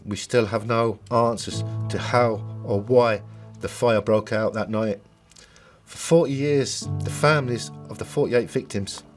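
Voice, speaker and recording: A middle-aged man with an Irish accent gives a personal testimony as a bereaved relative, solemn and sometimes halting. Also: mid-range voice. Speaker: bereaved brother giving a family statement. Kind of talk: personal testimony, partly recalled and partly read.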